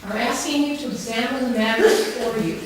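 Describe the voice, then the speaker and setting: A woman speaking earnestly and emphatically in a measured way, addressing a council committee as a campaigner in a public meeting. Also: fairly high pitch, crowd noise. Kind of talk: prepared public speech and answers to questions. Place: large town hall meeting room. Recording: very echoey hall, distant mic, clear background noise.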